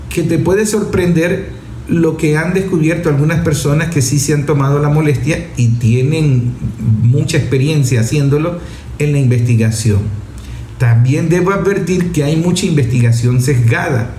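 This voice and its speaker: Brief: male Venezuelan radio host, slightly high-pitched, didactic commentary, audible noise behind. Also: warm tone. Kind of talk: explanatory radio commentary addressed to listeners. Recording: roomy echo, background noise.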